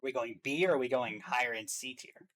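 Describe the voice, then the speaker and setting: A man's voice: medium pitch, brisk, fairly bright tone. A man hosting a reaction show, giving casual commentary and rankings. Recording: music behind the voice.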